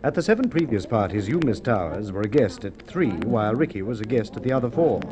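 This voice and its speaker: An actor playing a shrewd, formal police inspector in a Golden Age radio drama, questioning in a deliberate, coolly probing way. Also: male voice, on the low side, sing-song. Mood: accusing, assured. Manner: measured, deliberate questioning with hesitations. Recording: muffled vintage radio drama recording.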